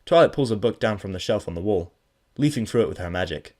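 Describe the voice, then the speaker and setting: Low-voiced young man, first-person storyteller, dry and sarcastic delivery. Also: expressive, fast talker, darkish tone. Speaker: narrator. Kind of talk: first-person audiobook narration.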